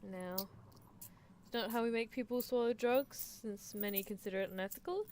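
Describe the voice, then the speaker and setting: A woman's voice: medium pitch, normal speed, a little mellow, close to an everyday voice. A woman voicing a gleefully unethical horse-girl scientist in an ASMR roleplay, musing in a coolly clinical, teasing manner. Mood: smugly amused. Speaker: streamer performing character roleplay. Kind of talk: ASMR roleplay, improvised character monologue. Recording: clear background noise.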